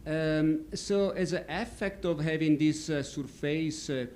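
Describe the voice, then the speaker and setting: A man from Italy with an Italian accent, giving a company presentation and pausing often on fillers as he searches for words. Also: a little high, darkish tone, slight background noise. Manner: halting, many fillers. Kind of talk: presentation talk. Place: stadium function room.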